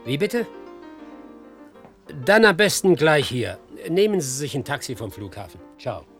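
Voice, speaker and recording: A sardonic, ill-humoured private detective of middle age in a radio drama. His pitch swings widely as he tosses out rude, weary wisecracks, with music playing behind him. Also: big pitch swings, a little slow. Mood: weary, flirtatious. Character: jaded, ill-humoured hard-drinking male private detective. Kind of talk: German radio play acting. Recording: studio radio play with music.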